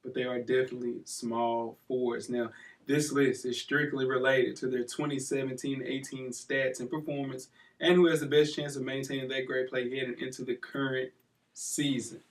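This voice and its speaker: A man's voice: medium pitch, level tone, moderate pace. A man hosting a sports podcast with casual, slangy banter, jovial and given to repeating phrases for emphasis. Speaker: podcast host. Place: studio. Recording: slightly distant from the mic.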